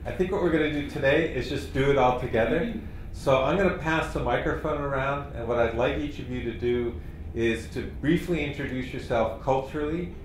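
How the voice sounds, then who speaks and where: A man leading a training workshop, explaining in a relaxed, conversational way. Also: mid-range voice, fairly quick. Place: meeting room. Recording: very echoey room, faint noise.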